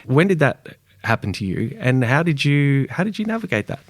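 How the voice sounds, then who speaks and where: A man's voice: medium pitch, sing-song, brisk, dry recording, clean studio sound. A man hosting a podcast interview, asking easygoing questions and backing the guest with short agreements. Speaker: podcast host.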